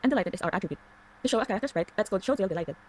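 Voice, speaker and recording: A woman delivers scripted instructional narration at a very quick pace. She explains each step in an orderly way as a tutorial narrator, at a middling pitch. A faint noise runs underneath. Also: expressive, darkish tone.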